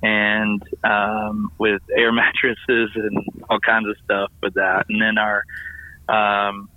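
A slightly hoarse man, a family ministries pastor, calling in to tell an upbeat, enthusiastic story full of fillers. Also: on the low side, completely flat delivery, takes it slowly. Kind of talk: casual phone-in report. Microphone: phone call. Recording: tinny phone line.

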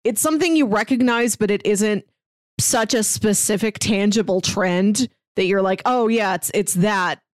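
A woman's voice: mid-range voice, normal speed, dry recording, studio quality. A woman co-hosting a podcast, discussing films casually in a conversational, lightly amused way. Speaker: podcast co-host reviewing films.

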